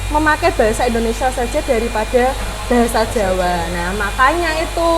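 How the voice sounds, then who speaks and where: Woman, language teacher, explanatory, high voice, constant background hum. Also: lively intonation, brisk. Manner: explanatory, patient, with filler "eh" and "nah". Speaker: Javanese language teacher, guest. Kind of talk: podcast interview answers.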